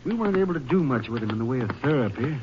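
An actor playing an earnest, worried American hospital doctor in a 1950s radio drama, speaking gravely and haltingly. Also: male voice, medium pitch, lively intonation, lightly acted. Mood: grave, explanatory. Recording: muffled, noisy old radio recording.

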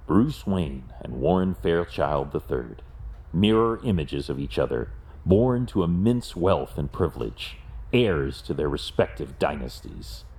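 Man playing brooding wealthy crime-fighter, very low voice, varied intonation, grim, resolute, deliberate, audio drama. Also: sing-song, a little mellow, exaggerated voice.

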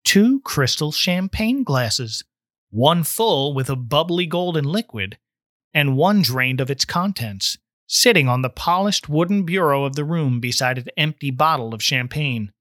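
A man's voice: on the high side, animated, unhurried, fairly bright tone, dry recording, clean studio sound. A man reading a story aloud as dramatic scripted narration. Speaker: guest story reader.

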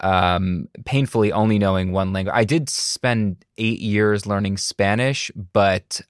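A man's voice: on the low side, steady tone, measured pace, smooth, no room sound, clean studio sound. A man hosting a podcast, reading a scripted intro and then chatting casually and self-deprecatingly with his guest. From United States. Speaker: podcast host.

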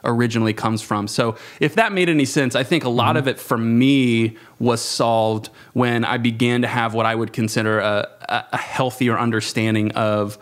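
A man in his thirties speaks in a reflective, conversational way at a middling pitch. His phrasing is halting and thoughtful, and he often corrects himself as he goes. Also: fairly even intonation. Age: 30s. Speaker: youth ministry worker. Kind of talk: reflective panel discussion.

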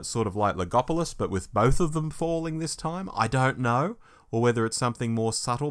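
A man's voice: mid-range voice, fairly bright tone. Wry man co-hosting an informal chat. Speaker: podcast co-host. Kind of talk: casual discussion of Doctor Who fandom news.

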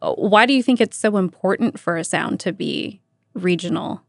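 An American woman with a mid-pitched voice speaks as a radio interviewer. Her way of talking is casual and conversational, dotted with "like". The recording is dry and studio-close. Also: moderate pace, creaky, no echo. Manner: casual, with "like" often thrown in.

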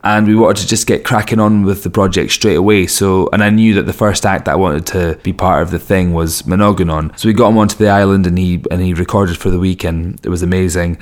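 Low-voiced, slightly raspy man hosting a podcast. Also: fairly even intonation, fairly quick, hardly any pauses. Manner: rambling, wry, self-mocking asides. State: strained throat, losing his voice. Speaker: podcast host and record label owner. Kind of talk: casual solo podcast introduction.

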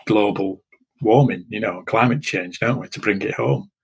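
Guy, middle-aged, husky, wry, keeps restarting sentences. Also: on the low side, normal speed, lo-fi sound. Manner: chatty, stumbling restarts, wry. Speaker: podcast co-host. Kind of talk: casual chat.

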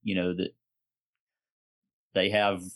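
A man's voice: low-pitched, level tone, slow, fairly bright tone. A man with a Southern American accent, chatting casually as a guest on a podcast. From Southern United States. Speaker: podcast guest, foster and adoptive father. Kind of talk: casual chat.

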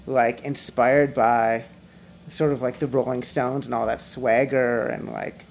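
A middle-aged man, a musician guest, chatting casually on a podcast, rambling with restarts and fillers in a high, slightly whiny voice. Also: flat delivery, measured pace, creaky, slight background noise. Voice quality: self-described high, whiny voice. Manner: rambling, many restarts and fillers, laughs often.

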